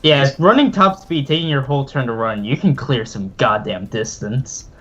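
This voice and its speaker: A man running a tabletop game as game master, narrating casually and haltingly with offhand swearing. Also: medium pitch, a bit bright, dull audio. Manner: casual, profane, hesitant narrating.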